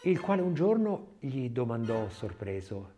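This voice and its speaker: Animated male literary narrator, music behind. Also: medium pitch, measured pace, dull audio. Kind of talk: expressive scripted literary reading.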